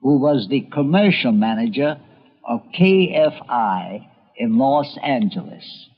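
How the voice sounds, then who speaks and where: A man's voice: mid-range voice, expressive, slow, slightly rough, soft background music. A man narrating a scripted documentary as host, in a folksy, dramatic storytelling manner. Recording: muffled, noisy old tape.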